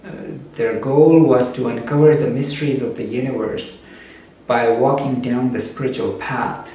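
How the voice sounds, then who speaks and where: A man whose native language is Urdu gives a slow, measured spoken reflection and often pauses with "uh". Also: mid-range voice, fairly even intonation, mellow. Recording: very echoey room, distant mic, faint background noise.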